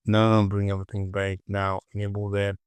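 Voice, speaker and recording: A man casually presenting a software tutorial, talking to himself as he works and repeating short phrases. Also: fairly low pitch, monotone, normal speed, clear, resonant tone, no room sound.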